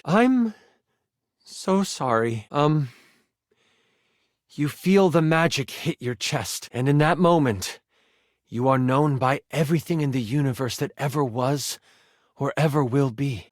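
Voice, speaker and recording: An earnest, nerdy game master in a video game. The voice is fairly high and lively, theatrical in delivery, with a dry, close sound. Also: male voice, no echo. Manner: theatrical, earnest, awkwardly gushing. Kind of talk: dramatic tabletop game narration and chat.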